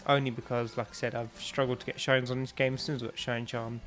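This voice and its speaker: A man's voice: mid-range voice, flat delivery, fast, a bit bright, soft background music. A young man with a stuffy, bunged-up nose, chatting casually and rambling with lots of ums while he streams a game. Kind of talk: casual live-stream chat while playing.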